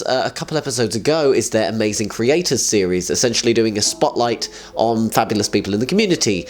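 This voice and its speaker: A young man who hosts a fan community show. He talks in a casual, self-mocking way, laughs often, and sounds a little nasal from a cold. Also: medium pitch. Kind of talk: casual solo video chat.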